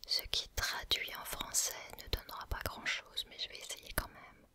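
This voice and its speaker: A man whispering softly in a slow, reflective ASMR video, pausing and restarting as he thinks aloud. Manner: musing, reflective.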